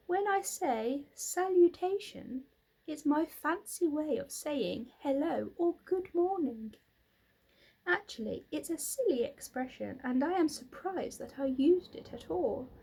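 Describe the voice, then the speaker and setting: A refined, friendly little storybook creature, voiced by a woman, with a thin, high voice. It speaks graciously and precisely, in an unhurried way. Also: lively intonation, warm tone, rough recording. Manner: genteel, articulate.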